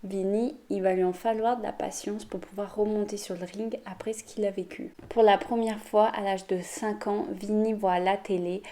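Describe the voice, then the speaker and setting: Youtuber woman telling a true story, mellow warm voice, casual chatty delivery. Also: medium pitch, fairly even intonation, moderate pace, few pauses. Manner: chatty, addresses viewers directly. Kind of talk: storytelling vlog.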